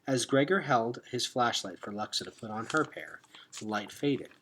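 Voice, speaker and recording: A man reading a children's adventure novel aloud like a teacher, expressive and dropping into hushed tones for suspense. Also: mid-range voice, moderate pace, slight background noise. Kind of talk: dramatic read-aloud narration of a children's novel.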